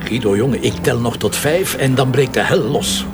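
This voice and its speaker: An actor playing a gruff, sarcastic, impatient Flemish police commissioner in a radio drama, curt and colloquial. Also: male voice, medium pitch, moderate pace, over loud music, lightly acted. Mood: irritated, sarcastic. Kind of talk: naturalistic radio drama acting.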